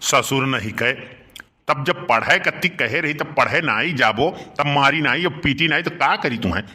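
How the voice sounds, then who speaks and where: A man's voice: medium pitch, expressive, moderate pace, pushed and bright. A man playing a grumbling, teasing village uncle in a cartoon, with an Awadhi accent and a mocking, scolding manner full of mild curses. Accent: Awadhi, eastern Uttar Pradesh. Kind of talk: dialect comedy cartoon voice acting.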